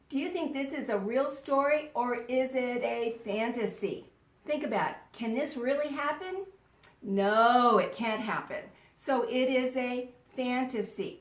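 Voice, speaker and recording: A woman teaching young children in a read-aloud lesson, speaking deliberately and instructively, in the manner of an elementary school teacher. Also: sits high. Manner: deliberate, instructional, repeats words for pupils to echo. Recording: roomy, echoing sound.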